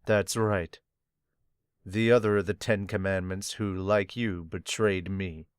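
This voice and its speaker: A calm young captain, read by a man who speaks in short, quiet, serious lines. The voice sits slightly low and is recorded close and dry. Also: slow pace, clear, resonant tone, no echo.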